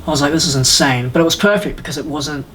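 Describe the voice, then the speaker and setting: A man's voice: on the high side, quick pace, a bit bright, slight background noise. A man chatting casually and emphatically on a podcast, like a keen fan. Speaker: podcast panelist. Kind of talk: casual, enthusiastic podcast chat.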